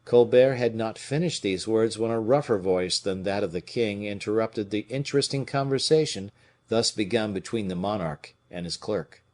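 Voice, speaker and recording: A man's voice: fairly low pitch, moderate pace, darkish tone. A man narrating a classic novel as a scripted audiobook reading.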